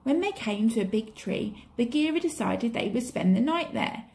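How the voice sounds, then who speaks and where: A woman's voice: fairly high pitch, animated, moderate pace, creaky, a little mellow. A woman reading a children's story expressively, like a teacher to pupils.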